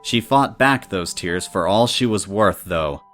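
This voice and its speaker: A man's voice: deep voice, animated, unhurried, fairly bright tone, faint music behind. A man reading scripted story narration in the third person.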